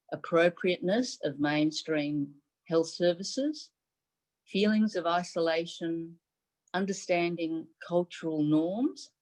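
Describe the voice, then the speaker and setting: A middle-aged woman born in Taiwan whose first language is Mandarin, giving a formal speech as a medical advisor over a video call from a room at home. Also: low-pitched. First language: Mandarin Chinese. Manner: measured, formal delivery in short phrases. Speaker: chief medical advisor giving a symposium address. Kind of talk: prepared speech. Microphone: video call webcam.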